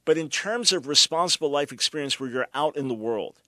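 A man's voice: a little high, expressive, fairly quick, bright, forceful tone, dry recording, clean studio sound. An older male host giving emphatic, preacherly commentary to his listeners. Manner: emphatic, preacherly, mocking at times. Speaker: radio show host.

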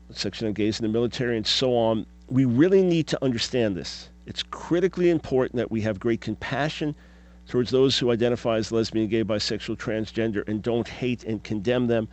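A man's voice: medium pitch, normal speed, darkish tone. Emphatic fifties man hosting radio talk. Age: 50s. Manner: emphatic, full of rhetorical questions. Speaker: radio talk show host. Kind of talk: radio talk show monologue.

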